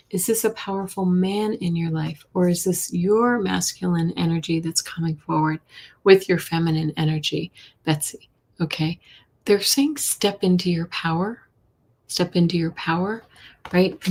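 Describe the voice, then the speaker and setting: A woman hosting a live tarot reading, a little hoarse, chatty, with a soft voice that often drops to a whisper. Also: lowish voice, steady tone, a little slow. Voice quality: soft voice, not loud. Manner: chatty, reads viewer questions aloud, drops to whispers. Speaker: intuitive reader, live stream host.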